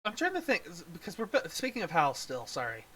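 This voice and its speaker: Halting, casual review co-host, mid-pitched voice. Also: wildly swooping pitch, pushed and bright. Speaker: podcast co-host reviewing animated films.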